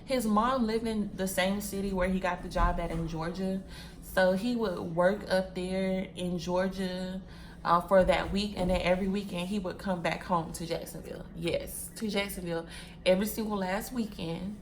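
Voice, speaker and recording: A woman's voice: fairly low pitch, steady tone, moderate pace, a little hiss or hum. Chatty young woman talking to viewers. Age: 20s. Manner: chatty, repeats phrases, addresses viewers as y'all and sis; packing wigs while talking. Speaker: vlogger. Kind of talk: casual chat to viewers.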